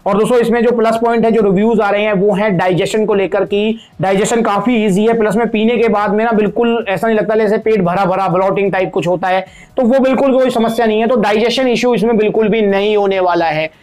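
A man with an Indian Hindi accent hosting a chatty supplement review, speaking straight to his viewers as friends and pausing often on fillers. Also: high voice, fairly even intonation, a little mellow. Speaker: supplement reviewer, channel host. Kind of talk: product review talk to camera.

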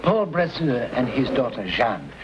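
An actor in his sixties playing a gentle but unafraid old frontier priest. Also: mid-range voice, big pitch swings, a little slow. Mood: confiding. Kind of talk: 1950s Western screen acting. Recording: dull, hissy vintage film soundtrack.

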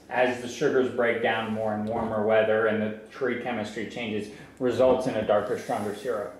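Man explaining things in echoey farm building. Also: medium pitch. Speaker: maple farmer giving a tour. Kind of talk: informal explanatory tour talk. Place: large farm sugarhouse and tasting room. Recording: echoey farm building, some background noise.

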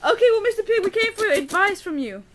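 Very high-pitched, coaxing puppet-show friend performer acting. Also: expressive, brisk, fairly bright tone. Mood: amused. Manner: coaxing, placating. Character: patient, polite friend Mario, amused sidekick leader.

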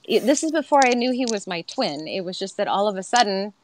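A woman in her forties telling a candid, reflective personal story in a soft voice on a video call from home. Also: medium pitch, lively intonation, brisk, a little hiss or hum. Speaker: guest. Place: home room, indoors.

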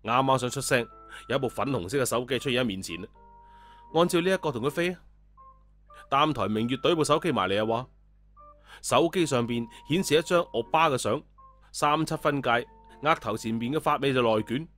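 A male storyteller reads an audio tale in everyday colloquial language. His voice sits at a medium pitch, with music playing beneath it. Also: fairly quick, fairly bright tone.

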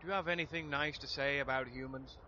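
A man's voice: mid-range voice, fairly even intonation, quick pace, sharp tone. A man playing a game, giving short spoken commands and questions to a computer. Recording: dull, noisy gameplay recording.

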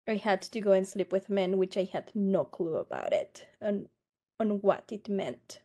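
A woman from Mexico, giving a slow, earnest personal testimony over a video call. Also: medium pitch, mellow. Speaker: survivor advocate, guest speaker. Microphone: laptop webcam on a video call.

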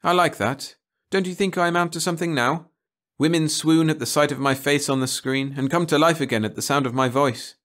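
A vain, hard-drinking film star, played by a man in an audiobook story. He is self-pitying and plaintive, his pitch lifted. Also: a little fast, a bit bright, close to an everyday voice. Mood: pleading.

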